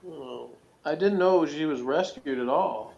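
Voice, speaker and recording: A man's voice: a little high, measured pace, gravelly, a little mellow. A man chatting casually as a co-reviewer, with a self-deprecating way of talking. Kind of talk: casual chat. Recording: dull lo-fi audio, faint noise.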